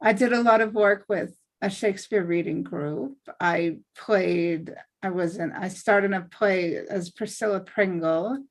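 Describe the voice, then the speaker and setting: Woman, mid-range pitch, chatty, rambling, casual group conversation. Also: a little slow. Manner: chatty, rambling, listing her credits. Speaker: actor, table read participant.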